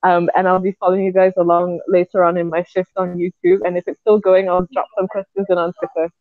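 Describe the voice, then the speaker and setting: Woman doctor, apologetic and brisk, thin phone-like audio, dry. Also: fairly low pitch, level tone, no echo. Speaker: doctor, guest participant. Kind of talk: casual chat. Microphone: video call.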